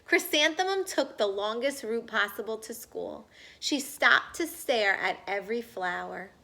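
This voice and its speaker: A woman reading a children's storybook aloud, expressive and deliberate. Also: fairly high pitch, gravelly. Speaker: storybook reader. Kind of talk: children's read-aloud narration.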